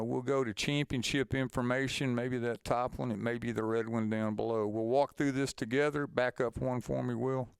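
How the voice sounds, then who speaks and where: Clear-voiced man presenting a webinar. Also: medium pitch, dry recording. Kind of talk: informal instructional webinar talk.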